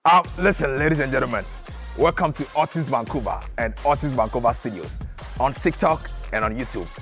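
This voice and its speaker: Casting: male host, mid pitch, punchy show-intro delivery, faint music behind. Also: animated, brisk, fairly bright tone. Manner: emphatic, repeats lines for effect. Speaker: host and interviewer. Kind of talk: lively show intro and interview questions.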